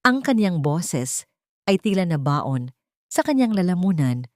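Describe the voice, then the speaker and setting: Low-voiced woman narrating a dramatic story. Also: sing-song, unhurried, a little mellow, dry recording, studio quality.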